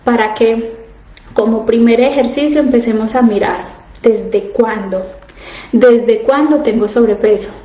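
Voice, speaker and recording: A woman's voice: fairly high pitch, mellow, roomy sound, lo-fi sound. A woman in her thirties coaching her audience in a casual live stream, earnest and asking direct questions. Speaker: coach on emotional eating, live stream host. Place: home, living abroad.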